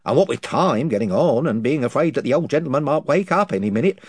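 A man's voice: medium pitch, animated, fast talker, breathy edge, no room sound, voice pushed up. A man voicing a self-important chief of invisible creatures who rambles and loses his thread. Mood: rambling. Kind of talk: audiobook character voice.

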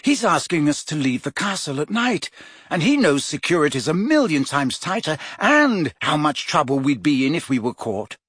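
Man doing a brisk teen girl, impatient n sensible, higher pitch, slightly breathy, audiobook. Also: sing-song, a bit bright, no room sound, clean studio sound, full character voice. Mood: exasperated. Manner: brisk, reasoning.

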